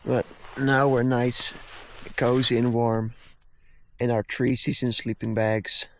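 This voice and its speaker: A man's voice: medium pitch, level tone, takes it slowly, slight background noise, low-quality recording. A man chatting casually as he vlogs from an outdoor winter camp. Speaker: outdoor vlogger. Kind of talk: casual camping vlog chat.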